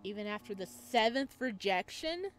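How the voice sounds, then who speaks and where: A woman hosts a game playthrough, reading the story aloud in an expressive way. She slips into casual asides and sometimes trips over a word. Music plays behind her. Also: medium pitch, normal speed. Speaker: video game playthrough host. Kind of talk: reading a visual novel aloud with dramatic narration and casual asides.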